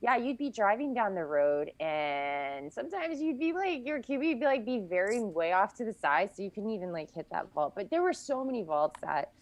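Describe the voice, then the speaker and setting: A woman's voice: medium pitch, very animated, moderate pace, hardly any pauses. A woman guest on a podcast, casually chatting and recounting events in long, detailed runs with frequent self-corrections.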